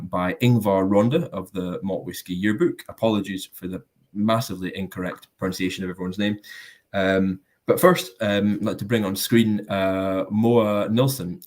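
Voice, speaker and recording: A man with a low, deep voice hosts a live tasting online. He talks in an easygoing, self-mocking way and fills his pauses with plenty of ums and uhs. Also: a little mellow. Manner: self-deprecating, hesitant with many ums. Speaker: host of a live whisky tasting. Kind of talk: casual hosting chat.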